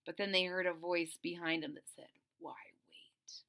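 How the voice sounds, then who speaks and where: A woman's voice: fairly low pitch, fairly even intonation, a little fast, vocal fry, bright, forceful tone. A woman reading a children's storybook aloud expressively, with casual, informal asides that explain the story. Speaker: storybook reader.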